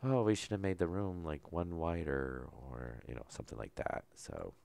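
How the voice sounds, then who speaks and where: Very deep, gravelly calm gamer man. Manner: calm, low-key, unhurried, no fake enthusiasm. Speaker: gameplay commentator. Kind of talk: casual let's-play commentary.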